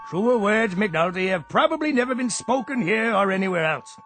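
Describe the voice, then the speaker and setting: Withering male boss: high pitch, animated, formal, sarcastic, radio comedy. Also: normal speed, faint music behind. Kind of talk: comic radio drama acting.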